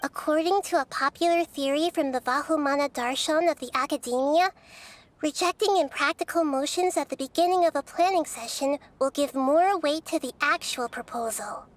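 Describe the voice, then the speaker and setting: Woman as a high-voiced gentle child goddess. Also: lightly acted. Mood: thoughtful. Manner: measured, earnest, articulate. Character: small childlike goddess of wisdom, gentle, thoughtful and composed. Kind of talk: video game character acting.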